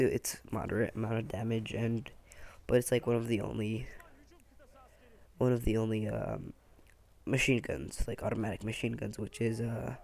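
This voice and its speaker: Hoarse young man, scratchy voice. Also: medium pitch, darkish tone. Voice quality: scratchy, gravelly. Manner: rambling, many fillers and restarts. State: hoarse, lost voice. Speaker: gaming channel host. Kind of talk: casual game review.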